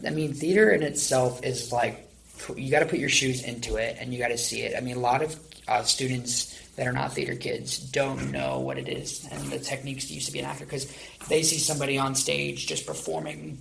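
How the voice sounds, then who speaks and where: A man's voice: mid-range voice, gravelly, low-quality recording. A man hosting a conversational podcast interview, asking long, rambling questions. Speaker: podcast host.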